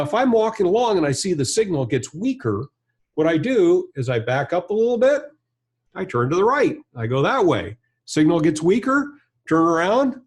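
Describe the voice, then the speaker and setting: A man's voice: on the high side, darkish tone. An American man in his fifties giving a hands-on product tutorial as a trainer, in a plain-spoken, conversational, explanatory way. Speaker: trainer and presenter. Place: indoor studio or workshop with backdrop and bench.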